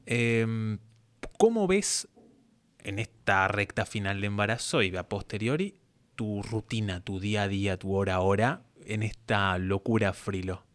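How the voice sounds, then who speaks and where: A man's voice: on the low side, measured pace. A man with an Argentine accent hosting a casual interview, reflective and wordy in the way he talks. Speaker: interview host.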